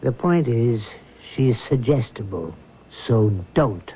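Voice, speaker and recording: An actor playing an authoritative, dismissive hospital doctor with a measured, clinical delivery in a 1970s radio drama. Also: male voice, medium pitch, expressive. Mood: firm, advising. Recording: noisy, dull vintage radio recording.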